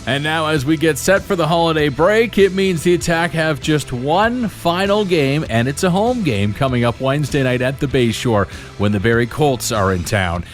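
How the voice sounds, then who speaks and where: A man's voice: a little high, lively intonation, normal speed, soft background music. A man hosting a sports podcast, interviewing guests and addressing listeners. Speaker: podcast host.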